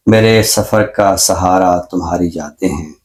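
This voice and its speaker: A middle-aged Pakistani man with a fairly low voice speaks as a professor on a literary program. His delivery is measured and scholarly, and he repeats phrases for emphasis. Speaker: professor and poet, literary show presenter. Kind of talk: reflective literary talk and poetry recitation.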